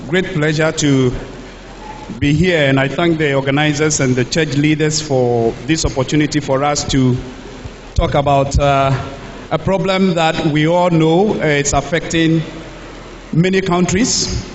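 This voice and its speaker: A man's voice: a little high, steady tone. Man with Ghanaian English in an echoey hall. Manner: speaks in short phrases, pausing for translation. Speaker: speaker at a church health talk. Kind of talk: public talk through an interpreter. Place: church hall. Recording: echoey hall, dull lo-fi sound, faint hiss.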